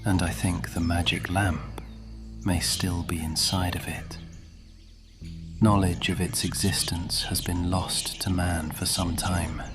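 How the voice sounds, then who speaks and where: Man playing a deep, slow, scheming immortal lord. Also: little pitch movement. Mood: hushed, intent. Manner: measured, condescending, persuasive, often dropping into whisper. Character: scheming, cultured, centuries-old male supernatural lord. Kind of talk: fantasy ASMR audio roleplay drama. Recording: music behind the voice.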